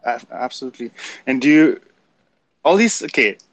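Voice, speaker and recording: A man with a German accent talks through a motivational conversation with real passion, his voice rising and getting loud when he gets excited. His voice sits in an ordinary middle pitch. The recording is dry and close, with no room sound. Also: moderate pace, no echo. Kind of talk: casual motivational chat.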